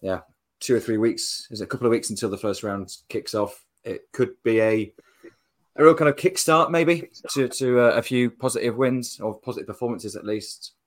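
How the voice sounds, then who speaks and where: A man's voice: medium pitch, moderate pace. A man who is a guest on a football podcast, thinking aloud and hedging his views with fillers. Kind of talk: casual football podcast chat.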